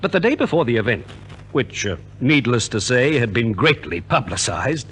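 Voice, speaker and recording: Lively, theatrical male radio narrator. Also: medium pitch, normal speed, slight background noise. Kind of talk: 1950s radio scripted narration.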